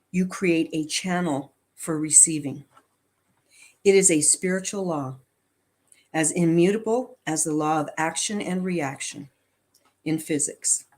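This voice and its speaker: A woman giving an inspirational reading aloud to a congregation at a spiritual service, with deliberate, measured phrasing. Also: fairly low pitch.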